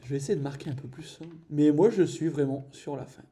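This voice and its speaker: A young man co-hosting a podcast, telling a story in a halting way with many fillers and pauses. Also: a little high, dark tone. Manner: hesitant, filler-heavy storytelling. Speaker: podcast co-host. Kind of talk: casual chat while painting.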